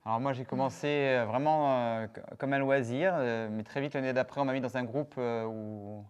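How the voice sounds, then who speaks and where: A man's voice: medium pitch, fairly even intonation, normal speed, clean tone, highs cut off. A man from France, a circus performer, answering interview questions with many hesitations. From France, Toulouse.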